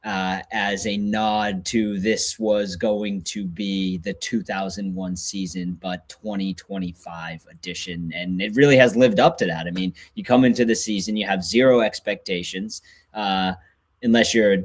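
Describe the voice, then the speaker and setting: A man's voice: low-pitched, slightly rough. A young man giving casual sports opinions as a podcast guest and devoted fan. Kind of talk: casual sports analysis chat.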